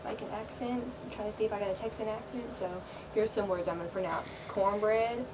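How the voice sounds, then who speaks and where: A woman's voice: fairly even intonation, a little fast. A teenage girl vlogging from her bedroom, answering questions in a laid-back, filler-heavy way and trailing off often. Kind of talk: casual Q&A chat. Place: small bedroom, indoors. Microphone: built-in webcam mic. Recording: quiet, low-volume webcam audio with loud hiss.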